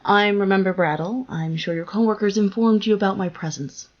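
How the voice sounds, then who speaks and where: A woman's voice: medium pitch, steady tone, brisk, lo-fi sound, natural voice. A woman playing a stiff, eavesdropping newcomer in an audio drama, with stilted, formal phrasing.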